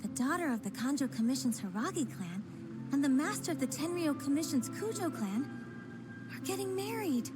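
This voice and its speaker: A woman's voice: sits high, expressive, normal speed, music in the background. An actress playing a gossiping townswoman in a game, chatty and eager. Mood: gossipy. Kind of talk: game cutscene voice acting.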